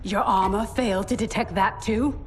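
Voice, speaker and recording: A woman playing a sorrowful, resolute warrior in a video game cutscene, speaking in measured, formal phrases. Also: mid-range voice, fairly even intonation, over music, natural voice. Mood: dry, tense.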